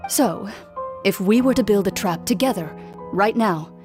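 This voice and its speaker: A woman voicing a composed, serious duelist in a game, calm and precise. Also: medium pitch, a little slow, soft background music, lightly acted. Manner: calm, precise, matter-of-fact.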